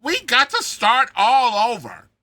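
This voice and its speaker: A man's voice: very high-pitched, wildly swooping pitch, slow, sharp tone, dry recording, pitched above the performer's own voice, heavily acted. A man voicing an old desert prospector, folksy and affectionate, with an exclamatory rustic drawl, in a storybook reading. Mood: wistful, affectionate. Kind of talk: dramatized storybook character voice.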